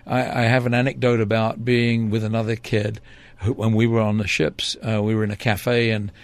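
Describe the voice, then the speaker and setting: An older man with a low, gravelly voice tells long stories at an easy pace. He wanders through his memories, stopping and restarting often, filling the gaps with "uh" and "um". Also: little pitch movement, moderate pace. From England. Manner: rambling, hesitant, with many fillers and restarts. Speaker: interview guest, former TV reporter. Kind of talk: relaxed storytelling in an interview.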